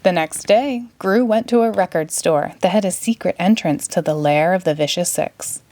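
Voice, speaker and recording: A woman narrating a children's story in a clear, expressive read-aloud manner. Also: on the low side, normal speed. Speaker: storybook reader.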